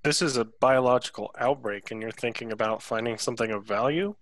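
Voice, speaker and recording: A man's voice: mid-range voice, brisk, fairly bright tone. A man playing a tabletop roleplaying game, talking casually in character in a sceptical, sarcastic manner.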